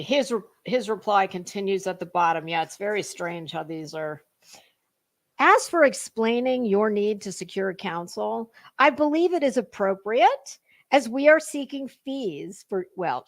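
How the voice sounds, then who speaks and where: A woman's voice: mid-range voice, lively intonation, measured pace. An American woman doing a dramatic reading mixed with casual, gossipy commentary, blunt and snarky with theatrical emphasis. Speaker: online commentator reading documents aloud.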